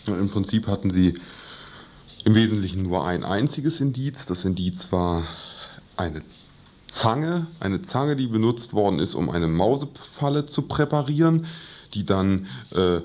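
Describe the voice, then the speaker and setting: A man giving an explanatory report as a radio interview guest, careful and wordy, with pauses as he formulates. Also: lowish voice, expressive, a little mellow. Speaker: trial reporter, studio guest.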